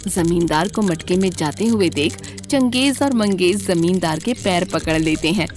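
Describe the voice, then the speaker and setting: A woman's voice: fairly low pitch, normal speed, darkish tone, faint music behind. A woman narrating a scripted children's story as the storyteller.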